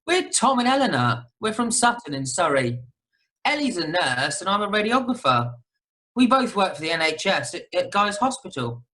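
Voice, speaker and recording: A woman's voice: mid-range voice, big pitch swings, normal speed, fairly bright tone, rough recording. A woman playing an eager, well-spoken newlywed husband in an amateur radio comedy. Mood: friendly.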